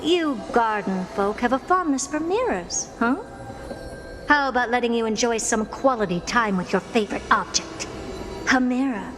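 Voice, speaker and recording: An actress playing a haughty, theatrical genius scholar in a video game, pompous, mocking and self-assured. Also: female voice, a little high, lively intonation, normal speed, music in the background, natural voice. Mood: gleeful, smug. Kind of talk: scripted video game voice acting.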